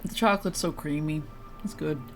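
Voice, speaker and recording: A woman's voice: low voice, a little fast, soft background music. A woman game streamer chatting casually, playful and teasing as she reacts to the game.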